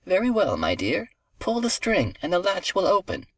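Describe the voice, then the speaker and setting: A man's voice: high voice, huge pitch swings, moderate pace, fairly bright tone, no room sound, pitched above the performer's own voice, exaggerated voice. A man voicing a kindly, ailing old grandmother in a children's storybook tale. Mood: welcoming.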